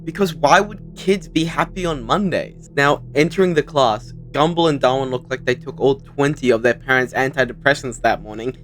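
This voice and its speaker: A man's voice: medium pitch, moderate pace, hardly any pauses, a bit bright. A young adult man hosting a video with casual, scripted commentary. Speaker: video host. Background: background music.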